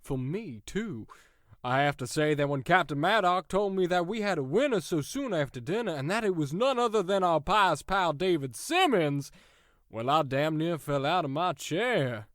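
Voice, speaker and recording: An actor playing a gloating prison overseer in an audio drama, mock-cheerful and grandiloquent. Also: male voice, fairly high pitch, expressive, normal speed, fairly bright tone, no echo, clean studio sound. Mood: gleeful menace.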